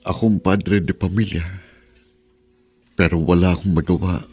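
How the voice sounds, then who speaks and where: An actor playing a middle-aged husband and father who can no longer walk, talking heavily and dejectedly with a very raspy voice. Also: sits low, steady tone, moderate pace, darkish tone. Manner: heavy, dejected.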